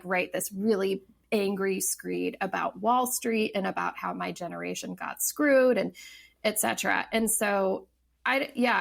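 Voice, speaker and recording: Woman, thirties, very gravelly fry, reflective, halting. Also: medium pitch, a bit bright. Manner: thoughtful, many fillers and restarts. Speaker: podcast guest, novelist. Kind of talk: casual interview talk.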